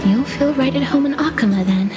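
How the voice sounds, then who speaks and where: A woman voicing a gentle, sorrowful young mortician and former holy maiden in a story video game, speaking softly and deliberately. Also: high-pitched, lightly acted. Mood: wistful, soft. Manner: soft, deliberate, wistful, with sighs. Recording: music behind the voice.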